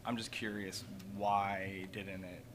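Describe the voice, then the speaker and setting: Man, soft-spoken, hesitant, very deep voice, noisy council room. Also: flat delivery, slow pace. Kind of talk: asking a question at a council meeting. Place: city council chamber.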